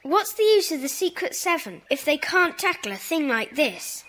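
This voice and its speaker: A woman's voice: high voice, very animated, normal speed, a bit bright, slight background noise. A woman playing an eager, emphatic schoolchild in a children's audio drama. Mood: determined. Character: eager, indignant, kind schoolchild.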